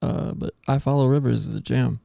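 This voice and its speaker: A man's voice: medium pitch, fairly quick, vocal fry, warm tone, no echo, clean studio sound. A man hosting a casual podcast, chatting and bantering in slangy speech with plenty of "dude". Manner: slangy, says "dude" often. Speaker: podcast host. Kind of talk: casual banter about music with co-hosts.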